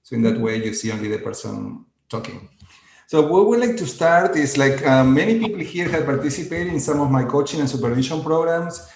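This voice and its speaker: Brief: man, coach on a video call, slow gentle guidance, roomy sound. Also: medium pitch, lively intonation, vocal fry. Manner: slow, gentle, inviting instructions. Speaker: coach and conference presenter. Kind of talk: guided centering exercise.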